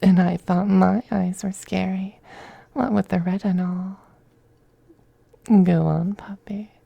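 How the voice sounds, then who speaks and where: Slow, whispery femboy vampire played by a man. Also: high-pitched, little pitch movement, no echo, lo-fi sound, full character voice. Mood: veiled threat. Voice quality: soft, breathy, often dropping to a whisper. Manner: slow, coaxing, condescendingly tender. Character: possessive, teasing femboy vampire, cruelly sweet master. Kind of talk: ASMR roleplay, scripted, close and intimate.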